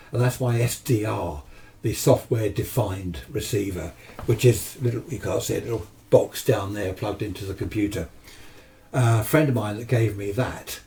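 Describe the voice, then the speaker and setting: An older British man with a low, mellow voice talks in a casual, chatty way. He rambles enthusiastically, often putting questions to himself and answering them. The microphone sits some distance from him. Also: creaky. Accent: British English. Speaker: amateur radio hobbyist presenter. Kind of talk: casual rambling chat to camera.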